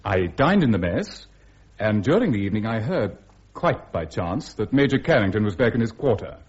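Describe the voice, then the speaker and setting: Stiff british colonel, lowish voice, measured, dull radio drama recording. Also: male voice, very animated, darkish tone. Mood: stiff, formal. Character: stiff, formal male army colonel giving evidence.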